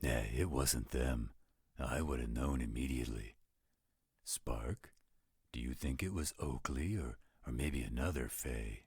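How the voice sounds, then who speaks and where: A man playing a blunt, protective werewolf with a gravelly, raspy voice in a roleplay audio drama. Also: a bass voice, a little slow, darkish tone, no echo, studio quality, heavily acted. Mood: blunt, questioning. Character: gruff, protective male werewolf, laid-back with loved ones.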